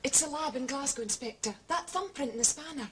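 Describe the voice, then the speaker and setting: An actress playing a brisk female police assistant in a radio drama. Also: sits high, a bit bright. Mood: brisk, informative. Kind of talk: British radio drama acting.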